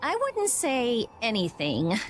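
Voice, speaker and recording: Casting: bossy anime game girl mage, high voice, very animated, emphatic and blunt. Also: slow pace, a little hiss or hum, full character voice. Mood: curt, urgent. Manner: sharp-tongued, emphatic. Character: brusque, bossy teenage girl mage and researcher.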